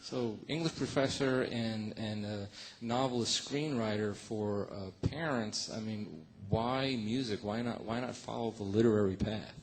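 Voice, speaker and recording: A man's voice: fairly low pitch, measured pace, hardly any pauses, a little hiss or hum, low-quality recording. A man hosting a radio show, interviewing a musician in a loose, conversational way, with many fillers and restarts.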